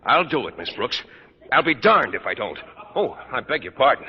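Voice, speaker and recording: A man's voice: mid-range voice, very animated, normal speed. An actor playing an earnest, timid male teacher with a hesitant, polite manner in an old radio sitcom. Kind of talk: 1940s-50s radio sitcom acting. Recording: muffled old radio, faint hiss.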